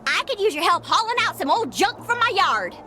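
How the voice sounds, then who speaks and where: A woman's voice: very high-pitched, very animated, a little fast. A woman voicing a folksy, friendly female squirrel with a Texan accent in a cartoon game. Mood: perky, friendly. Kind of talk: cartoon game cutscene acting. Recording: loud music under the voice.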